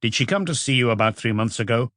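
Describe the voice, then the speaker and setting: A man's voice: mid-range voice, lively intonation, fast, fairly bright tone, no echo, natural voice. Male narrator as police chief, blunt, probing, persistent, audiobook dialogue, dry recording. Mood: probing, insistent.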